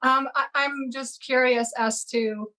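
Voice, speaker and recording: High, flat-voiced woman asking a question. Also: takes it slowly, rough recording. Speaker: audience member asking a question.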